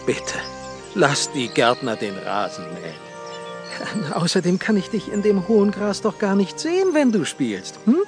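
A man's voice: high-pitched, wildly swooping pitch, measured pace, music in the background, heavily acted. An actor playing a doting, exasperated king and father in a children's audiobook, coaxing and hesitant. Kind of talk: children's audiobook drama acting.

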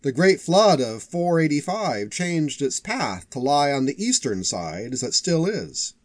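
A man's voice: on the high side, very animated, a little slow, no echo. Bookish male archivist, storybook fantasy, read by a male narrator. Earnest, florid, formal, with varied intonation. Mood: keen. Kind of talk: audiobook character voice.

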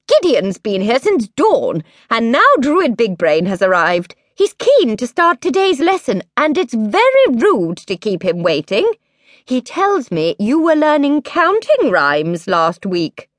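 A woman's voice: fairly high pitch, huge pitch swings, a little slow, no room sound, voice pushed up. Storybook queen mum: woman voicing a prim, scolding mother, firm and raised, dry close sound. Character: stern, proper mother queen.

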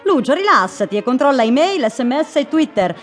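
Female radio host in an audio drama: slightly high voice, brisk, professional, skeptical yet placating. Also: sing-song, soft background music. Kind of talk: naturalistic radio drama acting.

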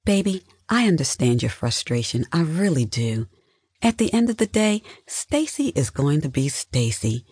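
A narrator acting out a patient wife in an audiobook, her voice lifted high above the narration. She is conciliatory and soothing, with a slightly husky grain in a dry recording. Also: sing-song, moderate pace, darkish tone, no echo. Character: placating, patient wife, adult woman.

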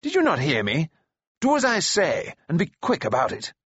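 An arrogant young prince from a fantasy storybook, acted out by a male narrator, speaks in scornful commands. He is haughty and quick to anger. The sound is dry and a little dull. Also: medium pitch, a bit bright, dry recording, natural voice. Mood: angry, threatening. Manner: haughty, barking orders.

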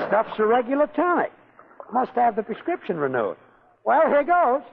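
An actor playing a grumpy husband getting tipsy on medicine in a 1940s radio play. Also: male voice, extremely high pitch, big pitch swings, takes it slowly, crowd noise, heavily acted. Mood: tipsy, merry. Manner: gruff then slurring and merry. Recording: tinny 1940s radio broadcast, faint hiss.

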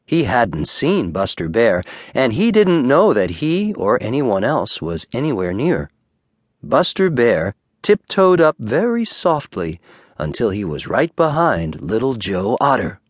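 A man reads a children's bedtime story with very lively, swooping intonation. His storytelling is expressive and deliberate, recorded dry and close. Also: mid-range voice, dry recording. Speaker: audiobook narrator.

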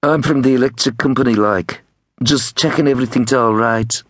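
A man voicing a cheeky young male prank caller from a storybook, with a Liverpool accent and mock-innocent, quick-fire questions. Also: medium pitch, no room sound, voice pushed up, natural voice. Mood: mock-official. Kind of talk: acted storybook dialogue.

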